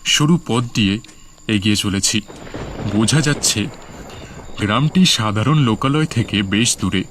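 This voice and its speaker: A man's voice: lowish voice, measured pace, fairly bright tone, slight background noise. A man telling a first-person horror story as scripted cartoon narration. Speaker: narrator and lead character.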